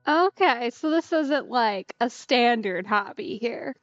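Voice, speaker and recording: Girl streamer chatting over a game, kinda high voice, wry, really dry close mic, bit muffled. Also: lively intonation, takes it slowly, no room sound, faint music behind. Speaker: gaming streamer. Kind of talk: casual let's play commentary.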